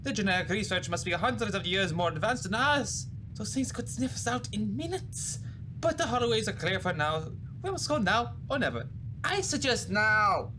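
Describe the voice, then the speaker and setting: An actor playing an eccentric, wry scientist with a theatrical, halting delivery in an old-time radio adventure serial. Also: medium pitch, animated, noisy background, lightly acted. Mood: alarmed. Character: eccentric scientist doctor, wry, gallant, champagne-loving.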